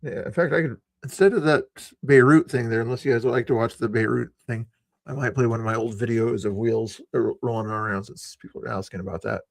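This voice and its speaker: A man's voice: mid-range voice, fast talker, gravelly, no room sound. A man hosting a live stream, chatting in a laid-back way and speaking to his viewers. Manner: laid-back, talks to the chat. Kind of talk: casual live-stream chat. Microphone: streaming microphone.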